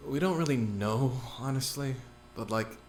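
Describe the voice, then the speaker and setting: A woman plays a blunt, sardonic young man with a very deep voice that drawls teasing remarks. A faint hum sits behind the voice. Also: lively intonation, moderate pace, darkish tone. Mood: flat.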